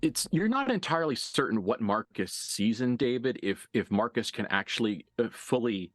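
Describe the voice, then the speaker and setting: A man narrating a tabletop horror game as game master, dramatically and deliberately, with pauses for emphasis. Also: medium pitch, big pitch swings, fairly bright tone, no room sound.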